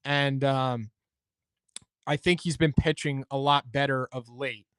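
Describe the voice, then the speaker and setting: Male sports podcaster, smooth tone, dry close sound, casual and conversational, reasons things out as he goes. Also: mid-range voice, fairly even intonation, unhurried, a bit bright, no room sound. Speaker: sports talk show host. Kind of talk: casual sports commentary chat.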